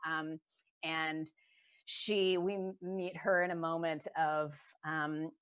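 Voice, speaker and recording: Lady playwright on a panel, slightly low voice, candid and casual. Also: level tone, slow pace, fairly bright tone, smooth.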